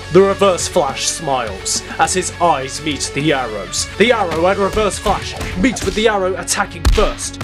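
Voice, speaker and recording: A man giving dramatic scripted narration as the narrator of an audio drama. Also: high-pitched.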